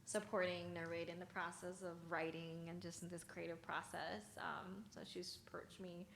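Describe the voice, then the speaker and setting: A woman's voice: lowish voice, little pitch movement, normal speed, vocal fry, a bit bright. A woman, the play's narrator, chatting in a bubbly way in a casual group discussion. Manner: bubbly, laughing easily. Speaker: narrator of the play. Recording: roomy echo, faint noise.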